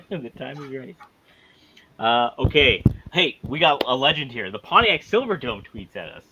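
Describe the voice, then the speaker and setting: A man with a bright, forceful, medium-pitched voice chats casually as a podcast co-host. He jumps in readily with reactions, over faint noise. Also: sing-song, moderate pace, dull audio.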